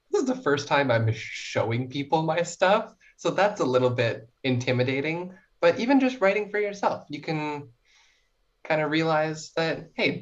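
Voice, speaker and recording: A young Canadian woman from small-town New Brunswick chatting casually as a podcast guest, playful and self-deprecating. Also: deep voice. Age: young adult. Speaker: student writer, podcast guest. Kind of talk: casual interview chat. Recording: dull lo-fi podcast audio.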